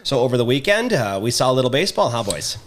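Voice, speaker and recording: A man's voice: mid-range voice, very animated, quick pace, fairly bright tone. A middle-aged man, hosting a casual podcast with laid-back, joking banter. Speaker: podcast host.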